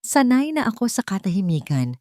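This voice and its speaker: A woman voicing a grieving billionaire widower who talks quietly and bitterly. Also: medium pitch, big pitch swings, fairly quick, no echo, clean studio sound, voice pushed up, close to an everyday voice. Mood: weary. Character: grieving billionaire widower, middle-aged man.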